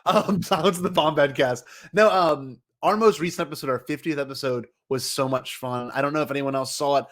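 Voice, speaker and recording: A man's voice: fairly high pitch, brisk, fairly bright tone. A man hosting a podcast in casual, joking chat, rambling and playfully hyping things up. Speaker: podcast host.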